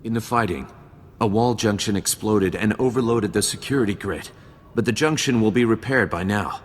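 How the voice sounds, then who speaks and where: Actor as a weary Jedi guardian, reverberant. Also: male voice, fairly low pitch, normal speed, lightly acted. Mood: grim. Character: weary, grieving Jedi guardian defending survivors. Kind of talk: video game cutscene acting. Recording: long hall reverb, faint game music behind.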